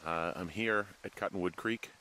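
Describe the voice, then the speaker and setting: An actor playing a weary, anxious American fire lookout in a video game, hesitant and exasperated in natural dialogue. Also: male voice, low-pitched, moderate pace, pushed and bright, poor audio. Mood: wary.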